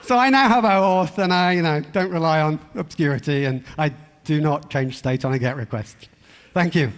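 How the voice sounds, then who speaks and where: Man, conference speaker, slightly high voice, wry conversational delivery, informal tech presentation, long hall reverb. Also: normal speed, slight background noise.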